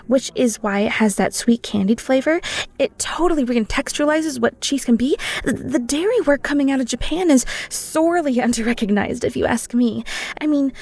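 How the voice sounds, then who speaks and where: A woman's voice: a little high, a little mellow. A woman playing a playful, flirty cheesemonger in an intimate, teasing audio roleplay. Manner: bubbly, teasing, giggly, breaks into near-whispers.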